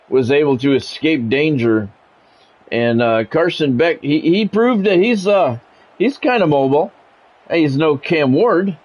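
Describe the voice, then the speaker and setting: A man's voice: fairly high pitch, darkish tone, poor audio. A man doing casual sports game commentary, drifting between announcer-style play calls and conversational musing.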